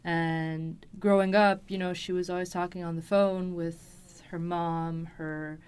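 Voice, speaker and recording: A woman's voice: on the low side, flat delivery, unhurried, a little mellow, clear, resonant tone. A woman, telling a personal story in an interview, hesitantly piecing her thoughts together. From Los Angeles, USA. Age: 20s. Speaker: interview guest. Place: recording studio.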